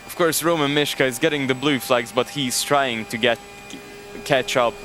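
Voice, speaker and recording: A man's voice: mid-range voice, normal speed, music in the background. A man from Hungary with a Hungarian accent, casually commentating a sim racing stream and chatting with viewers. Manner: chatty, jokes and thanks chat viewers. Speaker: race commentator.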